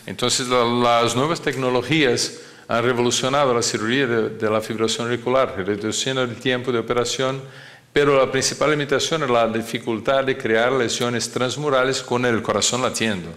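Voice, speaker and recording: A man who is not a native Spanish speaker gives a medical lecture, often halting as he searches for words. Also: medium pitch, steady tone, fairly bright tone. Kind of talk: conference lecture.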